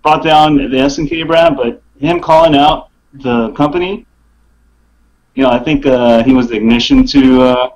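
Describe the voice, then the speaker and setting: A man chatting casually as a podcast guest, in a halting, hesitant way. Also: medium pitch, fairly even intonation, darkish tone, rough recording. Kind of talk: casual live podcast chat.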